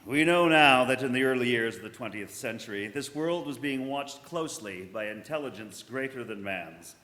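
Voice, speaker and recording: Male actor as grave radio play narrator, theatrical, measured, medium pitch, long hall reverb. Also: lively intonation, sharp tone. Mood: grave, ominous. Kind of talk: 1930s radio drama monologue, live stage reading. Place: church, live performance. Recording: live recording in a reverberant hall.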